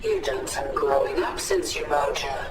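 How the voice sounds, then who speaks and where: A woman's voice: low voice, wildly swooping pitch, a little slow, roomy sound, loud music behind the voice, full character voice. An actress playing a commanding, impatient female warlord in a video game, speaking in a curt, threatening way. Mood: cold, impatient.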